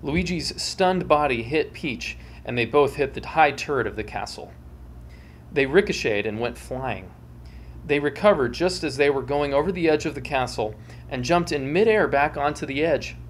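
Male reader, twenties, mock-serious and theatrical, animated delivery, home recording with faint noise. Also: fairly high pitch, moderate pace. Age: 20s. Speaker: show host and reader. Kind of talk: dramatic comic story reading. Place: small home room with bookshelves, indoors. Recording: clear background hum and noise.

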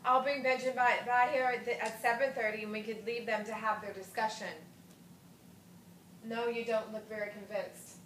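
A woman's voice: a little high, flat delivery, moderate pace, fairly bright tone, a little hiss or hum, close to an everyday voice. An actress playing a polite, easily embarrassed middle-aged mother on a theater stage. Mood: conciliatory. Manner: polite, flustered. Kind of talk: stage play acting. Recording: roomy stage sound.

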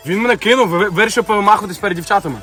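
A man's voice: sits high, rapid-fire. A young man in a heated casual argument, confrontational and repeating himself for emphasis. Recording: loud music over the voice. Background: loud music.

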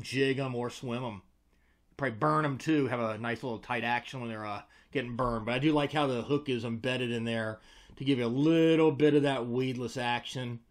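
An American man in his forties with a medium-pitched voice talks casually to camera as a review host. His delivery is informal and conversational. He is recorded indoors in a small room on a desk microphone. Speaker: host, fishing lure reviewer. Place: small indoor room with tackle-covered walls. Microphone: desk microphone beside him.

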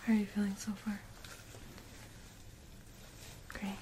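A woman softly whispering in a breathy, gentle, reassuring way, as a caring dental hygienist in an ASMR roleplay. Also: mid-range voice, monotone, background noise. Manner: gentle, reassuring, unhurried personal attention. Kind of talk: soft-spoken ASMR roleplay.

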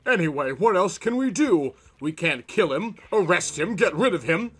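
A man's voice: high-pitched, lively intonation, moderate pace, a bit bright, breathy edge, heavily acted. A man voicing an alarmed, protective young newlywed husband in an earnest, melodramatic horror comic dramatization. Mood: resigned.

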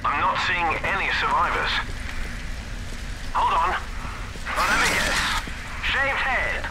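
Terse soldier in heavy battle noise. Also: male voice, high voice, lively intonation, slow. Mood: wary, dry. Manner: terse, military. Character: soldiers reporting from a battlefield by radio. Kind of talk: video game radio dialogue. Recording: very noisy battlefield behind.

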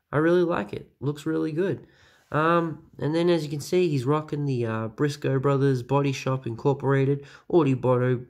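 A young man with a stuffy, nasal voice from a cold, chatting excitedly with many fillers as he reviews toys at home. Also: medium pitch, gravelly. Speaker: toy reviewer. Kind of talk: casual review vlog. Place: small room at home.

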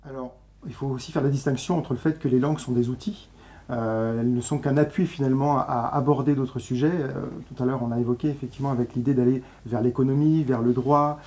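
A man's voice: medium pitch, steady tone, quick pace, dark tone. A man in his thirties, deputy director of a school, answering interview questions in a studio with many hesitations. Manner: explanatory, with frequent hesitations. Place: TV studio set, seated at a table.

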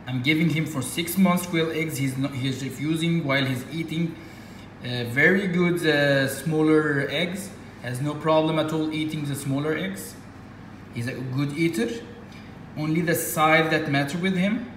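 Egyptian man, halting, in an echoey room. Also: on the high side, a bit bright, a little hiss or hum. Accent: Egyptian Arabic. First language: Arabic. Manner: halting, with frequent fillers and restarts. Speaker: reptile keeper. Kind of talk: casual how-to demonstration. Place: indoors at a table.

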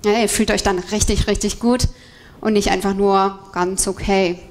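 A woman's voice: medium pitch, steady tone, normal speed, a little mellow, rough recording. A woman in her thirties speaking as a coach on stage, explanatory, giving a motivational talk to an audience through a headset mic. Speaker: co-presenter, movement coach. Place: indoor event room with seated audience. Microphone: headset microphone.

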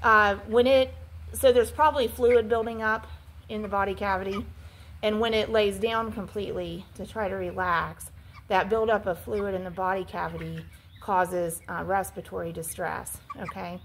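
A woman's voice: medium pitch, steady tone, normal speed, a little hiss or hum. A woman poultry farmer explaining floor care as she films inside her chicken barn on a handheld phone, walking listeners through her reasoning. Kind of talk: instructional farm walkthrough. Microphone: handheld phone camera.

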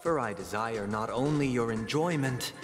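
A man's voice: medium pitch, normal speed, lightly acted. An actor playing a grand male ruler in a video game, speaking in a lofty, declamatory way. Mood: solemn. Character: grand, solemn male lord proclaiming his rule. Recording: game audio with long reverb and music.

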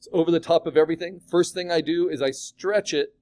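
Guy teaching a photoshop class, kinda high voice, chatty, keeps asking the room stuff. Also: fairly even intonation, fairly quick, darkish tone. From California. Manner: chatty, asks the class questions. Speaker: art instructor. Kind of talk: classroom software demo.

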